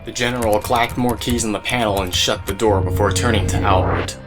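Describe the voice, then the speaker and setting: A man's voice: fairly low pitch, fairly even intonation, a little fast, loud music behind the voice. A man narrating an audio drama as storyteller, with casual asides to the listener.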